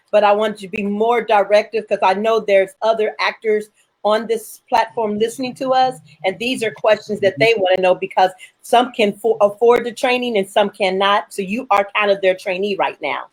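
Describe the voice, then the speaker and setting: A woman with an average-pitched voice hosts a panel chat. She talks conversationally as she moderates and puts questions to the guests. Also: moderate pace, low-quality recording. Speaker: panel host.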